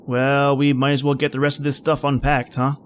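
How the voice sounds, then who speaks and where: A man playing a calm, plain-spoken surveyor in a radio drama, narrating and talking through a lonely Arctic winter. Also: mid-range voice, a little fast, slight background noise, lightly acted. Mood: matter-of-fact.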